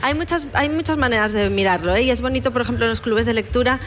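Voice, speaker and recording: A woman's voice: a little high, fast, fairly bright tone. A woman novelist talking about her book in a casual radio interview, reflective and explanatory, often ending ideas with a questioning tag. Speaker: novelist guest.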